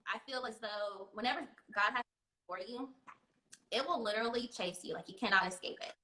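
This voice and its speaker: A woman's voice: a little high, fairly quick, pushed and bright. A woman in her thirties, a guest on a casual video-call interview, chatty and laughing often, with many fillers. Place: home room. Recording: echoey room on a video call.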